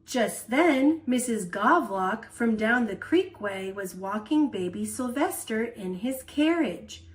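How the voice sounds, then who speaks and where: Woman, children's storyteller, expressive read-aloud, lively range, unhurried pace, playful emphasis. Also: fairly high pitch.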